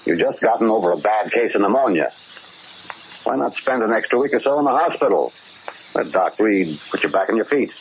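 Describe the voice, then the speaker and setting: A low-voiced male prison warden, in 1940s radio drama style, concerned and insistent. His delivery swings expressively between paternal worry and exasperation. The vintage broadcast sounds somewhat muffled. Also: animated, a little fast, a little hiss or hum. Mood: exasperated, concerned. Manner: earnest, insistent, questioning.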